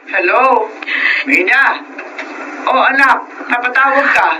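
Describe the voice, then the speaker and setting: A woman's voice: fairly high pitch, slow, sharp tone, rough recording. A woman with a Filipino accent playing a protective, comforting older mother in a radio drama, firm yet soothing. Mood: worried. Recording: clear background noise.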